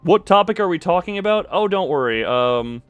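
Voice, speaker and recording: A man's voice: high voice, lively intonation, fairly quick, clear, resonant tone, no room sound, soft background music. A man hosting a livestream, rambling casually and jokingly as he goes off on tangents and talks back to chat. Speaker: livestream host, streamer.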